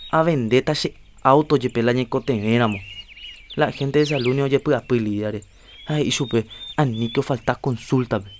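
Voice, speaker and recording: A man's voice: mid-range voice, normal speed, slight background noise, natural voice. Man as earnest Paraguayan health promoter, persuasive and lecturing, radio drama, faint birds outside. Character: earnest adult man urging health checkups. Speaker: Rafael, a community health promoter. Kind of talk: educational radio drama acting.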